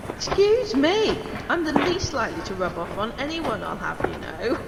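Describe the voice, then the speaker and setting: A woman's voice: a little high, sing-song, moderate pace, creaky, recorded from a distance. A woman playing a cheeky, playful worker in an audio drama, teasing with a drawl. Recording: very noisy, echoey hall from afar.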